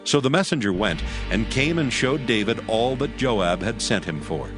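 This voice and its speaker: A man's voice: on the low side, animated, moderate pace, music in the background. A man reading scripted narration for a dramatized Bible audiobook.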